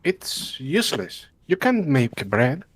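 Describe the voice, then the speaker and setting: A man's voice: medium pitch, big pitch swings, slow, husky, exaggerated voice. A man voicing an earnest, somewhat reluctant young student in a dramatic comic read-aloud. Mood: dutiful, glum.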